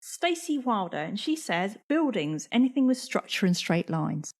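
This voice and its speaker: A woman's voice: mid-range voice, sing-song, moderate pace, a little mellow. A woman in her forties, co-hosting a podcast in casual chat, chatty and laughing often. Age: 40s. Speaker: podcast co-host.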